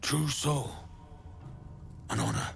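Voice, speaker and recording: An actor playing a formal, guarded cultist in a dark fantasy game, speaking in a measured way. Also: male voice, mid-range voice, huge pitch swings, vocal fry, faint music behind, exaggerated voice. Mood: reserved, doubtful.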